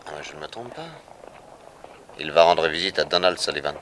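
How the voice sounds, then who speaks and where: Shrewd private detective, low, composed, probing; vintage radio serial with light noise. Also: male voice, moderate pace, pushed and bright. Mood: pensive, suspicious. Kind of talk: 1960s French radio serial acting. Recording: noisy vintage 1960s radio recording.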